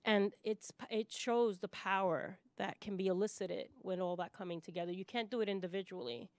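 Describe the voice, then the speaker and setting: A woman from Puerto Rico talks in a panel discussion with an emphatic, impassioned manner. Her pitch is mid-range, and she often punctuates her points with a quick check-in. The sound is close and dry. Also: steady tone, dry recording.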